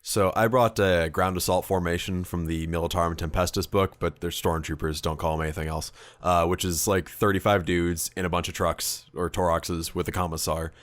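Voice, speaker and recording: A man's voice: low-pitched, no echo. A man in casual conversation telling stories as a podcast host, with a profane, wry, self-deprecating manner. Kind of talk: casual chat recounting tabletop wargame battles.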